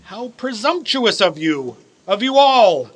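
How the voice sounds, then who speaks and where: A man's voice: sits high, sing-song, slow pace, heavily acted. An actor playing a cold, miserly old businessman in a radio play, speaking in a formal, sarcastic, condescending way. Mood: irritated, scornful. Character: cold, miserly old male business owner, scornful of Christmas. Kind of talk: theatrical radio play acting.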